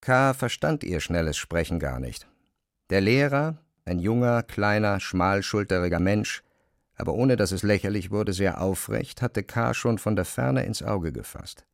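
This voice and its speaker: A man narrating a literary classic in a measured, precise storytelling style. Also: on the low side, animated, vocal fry, no echo, studio quality.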